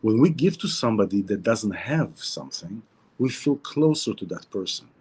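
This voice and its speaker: A man with an Israeli Hebrew accent gives a casual spiritual talk in a low, husky, mellow voice. He is reflective, circles back over his phrases and often restarts mid-sentence. He records indoors at a seaside hotel. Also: expressive, moderate pace. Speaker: host of a weekly Torah talk.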